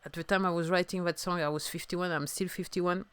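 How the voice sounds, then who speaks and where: A woman in her fifties from France, with a French accent and French as her first language, talking reflectively on her own podcast. She talks haltingly, searching for words as she goes. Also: fairly low pitch, fairly even intonation, a bit bright, clean tone, dry recording. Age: 50s. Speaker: singer-songwriter podcaster. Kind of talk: reflective solo podcast talk.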